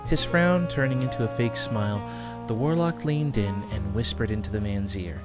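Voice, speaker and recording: Casting: male narrator for a fantasy tale, somewhat deep, scripted read over background music. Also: animated, moderate pace.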